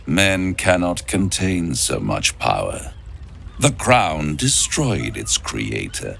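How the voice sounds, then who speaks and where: An actor playing a suave, scheming, grandiose devil in a video game, with silky, theatrical delivery. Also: male voice, low-pitched, vocal fry, fairly bright tone, a little hiss or hum, exaggerated voice. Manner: theatrical, silky, drawn-out delivery with dramatic swoops. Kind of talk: dramatic video game acting.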